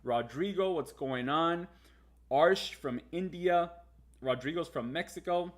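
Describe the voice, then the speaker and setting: A man's voice: fairly high pitch, measured pace. Chatty American man hosting a livestream. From United States. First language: Spanish. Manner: chatty, many fillers and restarts, addresses viewers as guys. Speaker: livestream host. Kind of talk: casual live market commentary.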